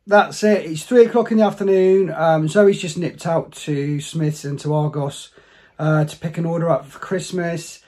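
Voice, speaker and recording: A middle-aged man chatting casually as a family vlogger at home, his voice going hoarse. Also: fairly high pitch, fairly even intonation, moderate pace, a little mellow. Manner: chatty, coaxing with his child. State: losing his voice. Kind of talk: casual family vlog chat. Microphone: camera at arm's length.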